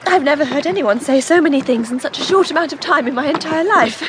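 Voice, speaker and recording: An actress playing a spirited, self-possessed young upper-class Englishwoman in a radio drama, with crisp speech. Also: high-pitched, lively intonation, fast, noisy background. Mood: brisk, impatient. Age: young adult.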